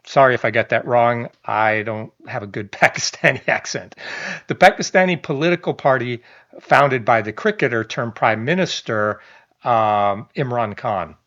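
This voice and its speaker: A man talking through a news story for his online channel. His voice sits at an ordinary middle pitch, and he mixes reading with loose, chatty asides, stumbling restarts and small chuckles. The audio sounds a little dull and lo-fi. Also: normal speed, a bit bright. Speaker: online commentator. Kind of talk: reading a news article aloud with casual commentary.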